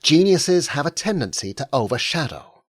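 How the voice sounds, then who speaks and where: Brief: man voicing a brisk older woman agent, raised pitch, dry studio read. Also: sing-song, dry recording. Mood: patient. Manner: smiling, persuasive, hushed when moved. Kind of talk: audiobook character voice.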